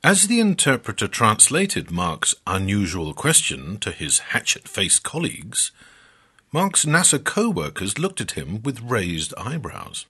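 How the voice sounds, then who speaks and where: A man narrating a scripted story as a podcast storyteller, with dramatic, measured phrasing and drawn-out emphasis. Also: on the low side, wildly swooping pitch, pushed and bright, slightly rough.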